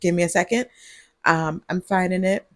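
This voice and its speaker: A woman vlogger, groggy on cold medicine, talking to her viewers in a husky voice and rambling with giggles. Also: on the low side, flat delivery, normal speed, a bit bright, dry recording, studio quality. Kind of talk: casual vlog monologue. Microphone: earbuds mic.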